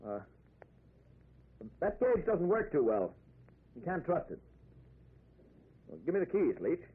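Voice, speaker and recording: An actor playing a nervous, shifty motorist in an old-time radio thriller, hesitant and anxiously placating. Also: male voice, a little high, huge pitch swings, unhurried, husky, exaggerated voice. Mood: uneasy, evasive. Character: nervous, shifty male motorist trying to appease. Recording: tinny, very noisy old radio recording.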